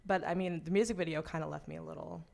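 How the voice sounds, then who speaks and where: Young American woman with creaky, lowish voice. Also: fairly even intonation, fast, a little mellow. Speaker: college radio host. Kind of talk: casual on-air chat.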